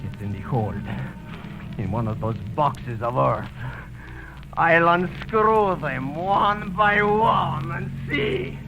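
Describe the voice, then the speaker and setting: High-pitched, half-mad ship's mate. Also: male voice, expressive, music in the background. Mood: frantic, crazed. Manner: feverish, halting, intense delivery. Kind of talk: 1930s radio drama acting. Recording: muffled, noisy old radio recording.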